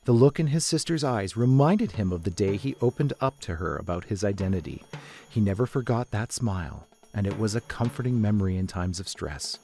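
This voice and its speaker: A man's voice: fairly low pitch, animated, brisk, dark tone. A man reading scripted story narration as the narrator of an audio drama. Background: music.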